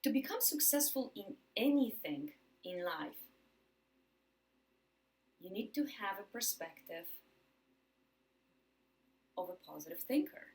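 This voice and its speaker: A woman from Poland with a Polish accent, giving a motivational talk to camera. She speaks emphatically, repeats words for stress and slows down on key phrases. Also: a little high.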